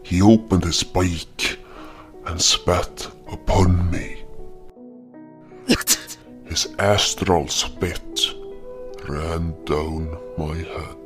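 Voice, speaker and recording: A man's voice: sits low, little pitch movement, music in the background. A man playing a brooding, wounded beast hunter, narrating his own tale solemnly in a dramatic audio story. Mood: shocked, sorrowful. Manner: solemn, slow, deliberate delivery.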